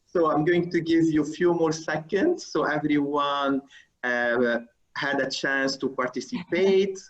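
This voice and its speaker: A man hosting a webinar on a video call who runs an audience poll in a procedural way, pausing with fillers as he reads the results. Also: fairly high pitch, a bit bright, dull audio. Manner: procedural, pauses with fillers while reading the results. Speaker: webinar host. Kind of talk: moderating a live webinar poll.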